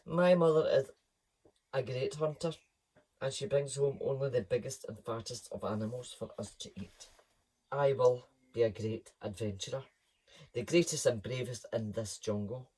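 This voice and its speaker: A storyteller voicing a brave, boastful young male lion cub, loud and high with a husky edge. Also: steady tone, normal speed, natural voice, low-quality recording. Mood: proud. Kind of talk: storybook reading.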